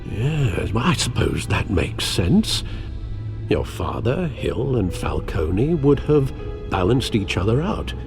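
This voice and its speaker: A loyal older butler in a game drama. The voice is low and gravelly, with expressive swings of pitch and a formal, wry, solemn manner. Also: male voice, slow pace, over music, exaggerated voice. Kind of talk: cinematic video game acting.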